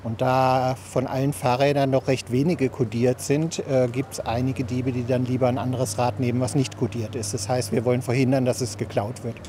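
A man's voice: mid-range voice, little pitch movement, normal speed, hardly any pauses. A man explaining something matter-of-factly in an interview outdoors in a city. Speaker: interviewee expert.

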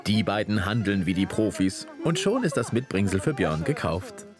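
A man's voice: fairly low pitch, big pitch swings, moderate pace, music in the background. A man reading a scripted voice-over narration for a television travel and cooking show.

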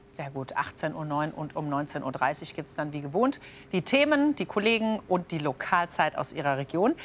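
A woman's voice: deep voice, lively intonation, moderate pace, slight background noise. Upbeat woman news anchor. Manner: upbeat, chatty handovers. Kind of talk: regional TV news presenting.

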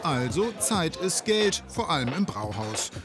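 A man reading scripted narration for a TV report in a brisk, matter-of-fact way. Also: on the high side, sing-song. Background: music bed.

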